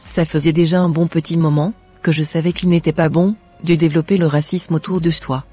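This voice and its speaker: Soft, husky devout daughter played by a woman. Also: deep voice, fairly even intonation, fairly quick, faint music behind. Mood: earnest, devout. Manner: earnest, preachy. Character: devout, wise, gentle schoolgirl daughter.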